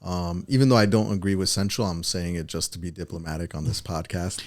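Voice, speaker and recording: A man speaking casually as an interview guest, in a halting way, often repeating and correcting himself. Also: low voice, fairly even intonation. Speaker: podcast guest, title company executive. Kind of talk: casual business interview chat.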